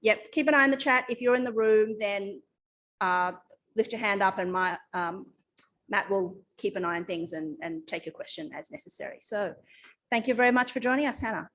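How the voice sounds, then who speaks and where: A woman's voice: medium pitch, a little fast, fairly bright tone, poor audio. A woman hosting a community meeting informally, somewhat hesitant and loosely organised as she speaks. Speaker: meeting host. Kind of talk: informal introduction of a guest speaker.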